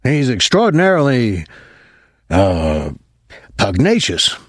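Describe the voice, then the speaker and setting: A man voicing a nervous, apologetic older military academy colonel in an audiobook, speaking formally and haltingly with some embarrassment. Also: mid-range voice, wildly swooping pitch, no echo, clean studio sound, heavily acted. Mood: uneasy, grave.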